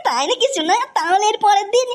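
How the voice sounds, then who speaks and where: A woman's voice: very high voice, expressive, fast talker. A woman voicing a lecherous old grandfather in a comic cartoon, sweet-talking and pleading in a wheedling way. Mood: amorous.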